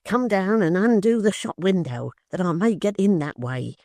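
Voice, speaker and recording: A woman's voice: mid-range voice, big pitch swings, moderate pace, dark tone, no room sound, studio quality, pitched above the performer's own voice. Woman playing a vain young apprentice. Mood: urgent.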